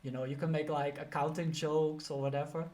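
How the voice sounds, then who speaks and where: A man's voice: on the high side, level tone, brisk, darkish tone. A man with a Dutch accent giving casual career advice, talking loosely with lots of "you know" and "like". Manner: chatty, many fillers like "you know" and "like". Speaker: career coach. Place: lives and works in the UK.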